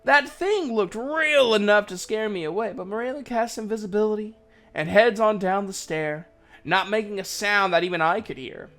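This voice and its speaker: A man's voice: high-pitched, normal speed, pushed and bright, faint music behind. A man narrating a fantasy story in the first person, in a folksy, conversational storyteller's manner. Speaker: narrator, voice actor.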